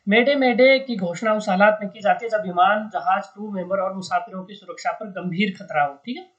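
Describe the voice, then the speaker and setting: Informal news explainer, mid-pitched, didactic and repetitive with frequent check-in tags; muffled, low-fidelity recording. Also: a little fast. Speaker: explainer, educator. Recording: dull lo-fi sound.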